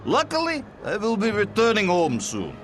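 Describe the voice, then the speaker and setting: An actor playing an earnest, gullible Soviet courier in a video game, with a thick Russian accent and broken English. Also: male voice, high-pitched, huge pitch swings, moderate pace, faint music behind. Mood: chummy, homesick. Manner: comically earnest, broken grammar. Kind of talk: video game cutscene acting.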